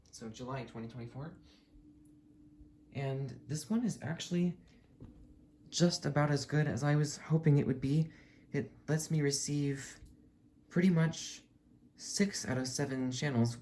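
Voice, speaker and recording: A mellow, relaxed voice at a middle pitch gives a casual show-and-tell as a hobby video host. The explanations are detailed and matter-of-fact, with faint ambient noise in the background.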